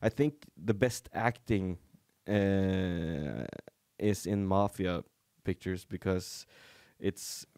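A man's voice: low-pitched, drawn-out words, darkish tone, no echo, studio quality. A young man from rural Norway, a native Norwegian speaker, talking in a casual podcast chat with many fillers and restarts. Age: young adult. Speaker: podcast guest, comedian. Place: small studio room. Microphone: studio mic on boom arm, headphones.

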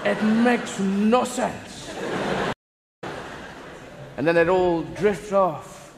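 A man's voice: sits high, animated, very slow, vocal fry, slight background noise. A man in his thirties doing a rambling, playful stand-up routine on a large theatre stage. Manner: rambling, playful, acts out voices. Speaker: stand-up comedian. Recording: echoey live theatre recording. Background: audience laughter.

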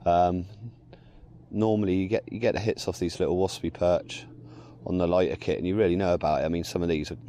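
A man's voice: deep voice, fairly even intonation, fairly quick, warm tone, a little hiss or hum. A man casually commenting while fishing a canal, chatty and explaining his tackle. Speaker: angler. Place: canal bank outdoors.

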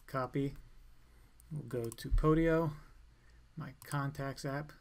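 A man giving an informal step-by-step software tutorial in a plain, instructional way. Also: medium pitch, fairly even intonation, slow pace, gravelly. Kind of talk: step-by-step screencast walkthrough, informal.

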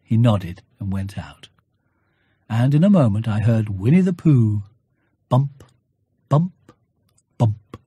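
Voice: medium pitch, expressive, takes it slowly, pauses often, dark tone, slightly rough, no echo. A narrator reading a children's storybook in a scripted storytelling style.

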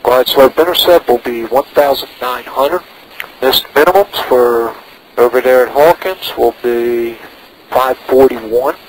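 A man's voice: mid-range voice, a little slow. A man working as a pilot, making radio calls and talking himself through checks in short phrases over a headset in a small plane. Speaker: private pilot. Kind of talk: radio calls and cockpit self-briefing. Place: inside a small propeller plane in flight. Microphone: aviation headset. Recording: noisy cockpit intercom with engine drone.

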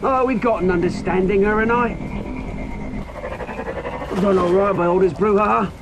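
An actor playing a vengeful, taunting British villain in a video game, theatrical and sneering. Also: male voice, high-pitched, moderate pace, dull audio, background noise. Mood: gloating menace. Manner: theatrical, sneering, swinging into outbursts. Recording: loud music under the voice.

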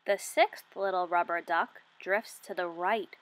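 A woman in her twenties reading a picture book aloud for young children, asking questions and enunciating deliberately. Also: lowish voice, fairly even intonation, background noise. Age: 20s.

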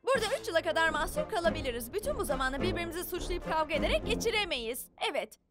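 A woman's voice: very high-pitched, big pitch swings, rapid-fire, music in the background. A woman voicing a well-meaning little superhero girl who leads the group, earnest and articulate, in a cartoon dub. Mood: earnest, persuasive.

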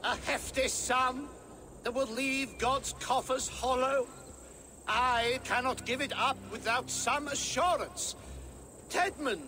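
A man's voice: very high voice, takes it slowly, sharp tone, heavily acted. A man playing a pompous, fretful older Saxon abbot in a video game, preachy and theatrical. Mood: indignant. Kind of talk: dramatic game cutscene acting. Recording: game audio over noisy ambience.

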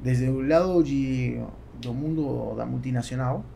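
A man in his forties from Argentina with an Argentine Spanish accent, a native Spanish speaker answering conversationally in a podcast interview with halting phrasing. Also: medium pitch, gravelly, mellow, a little hiss or hum. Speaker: entrepreneur, podcast guest. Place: small foam-padded podcast studio. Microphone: studio microphone on boom arm.

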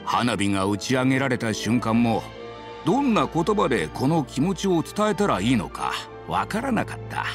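A man playing a sentimental elderly village husband in an anime game, gently reminiscing. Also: medium pitch, wildly swooping pitch, moderate pace, over music. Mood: fond, nostalgic. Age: older. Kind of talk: Japanese anime game voice acting.

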